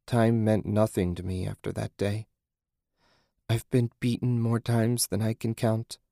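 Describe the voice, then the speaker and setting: A man's voice: fairly low pitch, level tone, a little slow, vocal fry, dark tone, dry recording, studio quality. A man voicing a self-loathing, beaten-down mother in an audiobook, confessing and pleading. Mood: broken, ashamed. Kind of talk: audiobook character acting.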